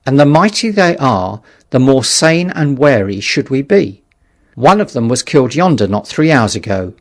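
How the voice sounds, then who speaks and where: A man's voice: medium pitch, big pitch swings, moderate pace, voice pushed up. A man voicing a sober, steadfast survivor in an audiobook story, firm and matter-of-fact as he exhorts. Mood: grave resolve.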